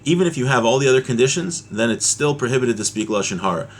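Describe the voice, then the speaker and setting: A man's voice: medium pitch, animated, fast talker, a little hiss or hum. A man giving an informal religious lesson as a teacher, explaining a text with everyday examples.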